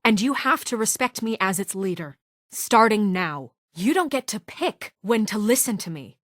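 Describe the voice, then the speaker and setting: A woman's voice: mid-range voice, big pitch swings, normal speed, a bit bright, no echo. An actress voicing a principled young woman deputy mayor in a video game, firm, clipped and authoritative. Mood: stern. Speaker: game character. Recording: dry studio voice clips.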